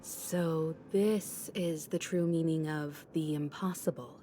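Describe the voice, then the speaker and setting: A calm, mellow questioner. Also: female voice, low-pitched, slow pace. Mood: probing. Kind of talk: video game cutscene dialogue. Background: game music.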